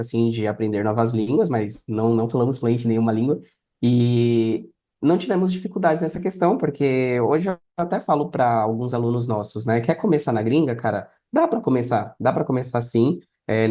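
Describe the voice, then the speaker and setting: Podcast guest, Brazilian male, relaxed mellow timbre, brisk casual delivery. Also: mid-range voice. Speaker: podcast guest, affiliate marketer.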